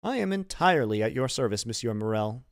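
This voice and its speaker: Scheming male ship's officer for a classic storybook, voiced by a male reader: insinuating, measured, animated pitch, close dry sound. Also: no echo, close to an everyday voice. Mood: oily, deferential. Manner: insinuating, measured, formally worded. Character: scheming, smooth-tongued, self-serving male ship's officer.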